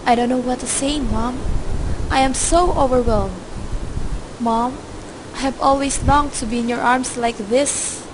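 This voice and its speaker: An actress playing a grieving young daughter who talks in an earnest, tearful way in a student radio drama. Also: female voice, high-pitched, a little slow, noisy background. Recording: loud background music.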